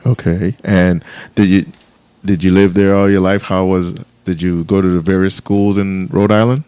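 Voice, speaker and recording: Deep, mellow radio host man. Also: little pitch movement, moderate pace, clear, resonant tone, dry recording. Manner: rambling, restarting questions. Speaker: radio talk show host. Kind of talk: live call-in radio interview. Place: radio studio.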